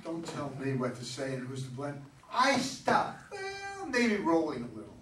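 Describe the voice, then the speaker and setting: Heard from a distance in a noisy, echoing room, a man plays an exasperated husband and father in a stage reading. His high-pitched voice swings widely as he stubbornly defends himself. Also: huge pitch swings, measured pace, fairly bright tone, exaggerated voice. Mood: exasperated, defensive. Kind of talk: staged play reading before an audience.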